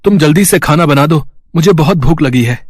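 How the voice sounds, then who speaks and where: A bossy village farmer, played by a man, talks in a complaining, urgent way. His voice is fairly high and husky, recorded dry, in cartoon fable style. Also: animated, fairly quick, no room sound. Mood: grumbling. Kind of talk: animated moral story acting.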